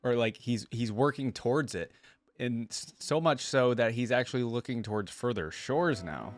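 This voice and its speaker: A man's voice: mid-range voice, normal speed. A man hosting a reaction video, musing aloud in informal, casual commentary.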